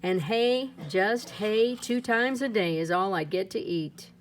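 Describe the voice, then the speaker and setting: A woman reading a rhyming children's picture book aloud in a playful, lilting way, her pitch swinging up and down. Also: measured pace. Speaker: storybook reader.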